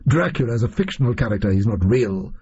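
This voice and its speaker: A male reader voicing a haughty, analytical Victorian gentleman detective in an audio story, speaking formally and precisely. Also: mid-range voice, lively intonation, fast, husky, muffled recording. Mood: dry, curious.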